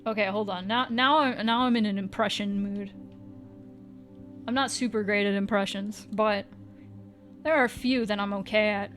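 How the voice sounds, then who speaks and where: A woman's voice: mid-range voice, fairly even intonation, normal speed, long pauses, fairly bright tone, soft background music. A woman livestreaming, chatting casually with viewers in a playful, teasing way and swearing now and then.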